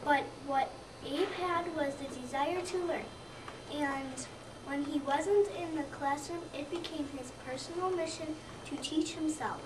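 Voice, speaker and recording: High-pitched child reading aloud, slowly. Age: child, about seven or eight. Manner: careful, halting read-aloud delivery. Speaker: second-grade student reader. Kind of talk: readers theater, reading a scripted narration aloud. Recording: roomy, distant mic, noisy background.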